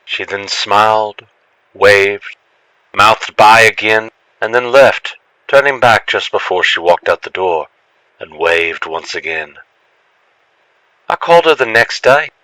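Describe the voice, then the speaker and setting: Deep-voiced male storyteller, bright and dry. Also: dry recording, rough recording. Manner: expressive storytelling, acts out the lines. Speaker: horror story narrator. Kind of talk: dramatic first-person scripted narration.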